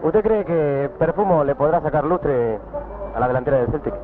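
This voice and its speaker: A man with a Rioplatense accent fires short questions at passers-by, his fairly high voice bouncing up and down. The sound is tinny and narrow like an old 1960s newsreel, with street noise faintly underneath. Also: animated, a crowd in the background. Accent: Rioplatense Spanish. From Argentina. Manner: brisk, quick-fire questioning. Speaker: street reporter. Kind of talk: vox pop interviews. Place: city streets and harbor, outdoors. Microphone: handheld corded mic.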